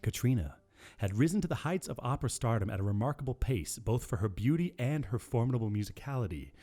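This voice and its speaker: A man's voice: mid-range voice, lively intonation, quick pace, dark tone. A man co-hosting a podcast, chatting casually with lots of swearing and stumbling through a story he is sight-reading. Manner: profane, joking, stumbles over words while reading. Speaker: podcast co-host.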